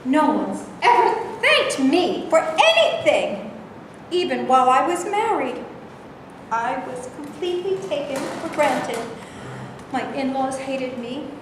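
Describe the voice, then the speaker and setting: Woman acting an aggrieved high-voiced woman, very echoey. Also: very animated, slow pace, full character voice. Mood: bitter. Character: aggrieved, self-absorbed married-once woman. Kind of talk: staged reading. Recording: very echoey room, clear background noise.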